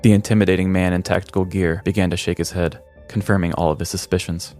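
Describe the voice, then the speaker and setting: Man, deep pitch, storyteller narration, scripted comedic true crime, background music. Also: level tone, quick pace, a little mellow. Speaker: podcast host.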